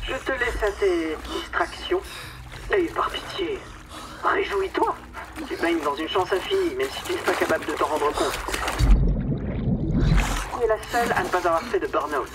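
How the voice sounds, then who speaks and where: A woman's voice: lowish voice, very animated, normal speed, long pauses. An actress playing a falsely affectionate, condescending woman boss in a darkly comic radio drama, with a theatrical, mockingly sweet manner. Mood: sweetly cruel. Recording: distant microphone, clear watery background noise.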